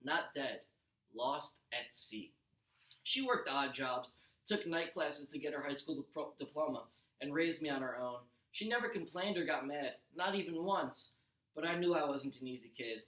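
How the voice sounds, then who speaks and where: Bright-voiced man reading aloud in an echoey room. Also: a little high, moderate pace. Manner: expressive, stumbles and corrects himself. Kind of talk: animated children's audiobook read-aloud.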